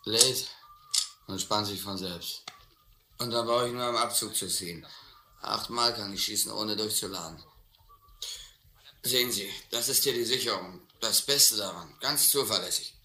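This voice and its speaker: An actor playing a brash, insistent young drunken drifter in a German radio play. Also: male voice, on the low side, normal speed, long pauses, sharp tone, reverberant. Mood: insistent, boastful. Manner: insistent, cocky, pestering.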